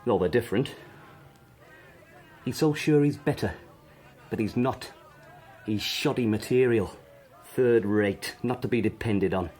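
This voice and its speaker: A British army sergeant in a radio drama, with a lowish voice. He is polite and deferential to officers, measured in delivery, with a quietly scheming air. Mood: cold, earnest.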